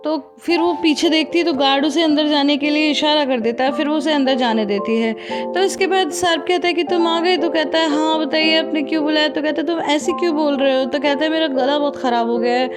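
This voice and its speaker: Woman, recap host, bright high voice, chatty and direct with viewers, music bed underneath. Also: fairly even intonation, fairly quick. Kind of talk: conversational plot recap. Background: background music.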